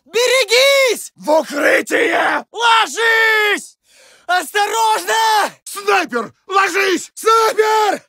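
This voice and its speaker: Video game WWI Russian soldier: alarmed, very high-pitched urgent shouting, forceful edge. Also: male voice, expressive, very slow, creaky, no echo, clean studio sound, a faint crowd, exaggerated voice. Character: alarmed Russian First World War soldier warning comrades. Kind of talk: shouted battlefield alert barks.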